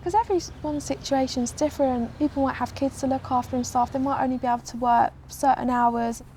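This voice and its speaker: A woman's voice: sits high, steady tone, fairly quick, mellow, slight background noise. A young woman speaking casually in a documentary interview, with many "like" fillers.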